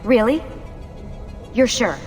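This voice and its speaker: Earnest assassin handler, music behind. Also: female voice, on the high side, expressive, slow pace, a little mellow. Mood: surprised relief. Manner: earnest, reassuring. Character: earnest, steady young female assassin handler. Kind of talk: video game dialogue.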